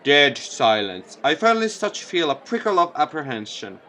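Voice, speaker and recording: A man's voice: on the high side, sing-song, normal speed, pushed and bright, slight background noise. A man reading visual novel text aloud as a let's play host, theatrical, sometimes stumbling over words and correcting himself.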